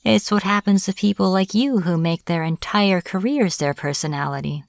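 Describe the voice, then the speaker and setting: A woman playing a smug, teasing witch in an audio roleplay, taunting a captive in a playful, deliberate manner. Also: lowish voice, vocal fry, dry recording. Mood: mocking. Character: smug teasing young-sounding witch, captor of a hunter. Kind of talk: audio roleplay, acted monologue to the listener.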